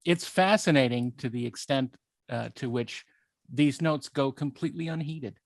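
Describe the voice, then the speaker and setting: A man with a medium-pitched voice co-hosts a film podcast. He speaks in a casual, conversational way. His recording is dry, with barely any room sound. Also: normal speed, no room sound. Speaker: podcast co-host.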